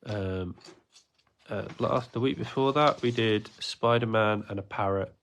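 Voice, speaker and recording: A man teaches drawing on a casual livestream. His voice sounds gravelly and stuffed up from a cold, and he rambles along with lots of ums and uhs. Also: fairly low pitch, a little slow, fairly bright tone, a little hiss or hum. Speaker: art teacher running a livestream class.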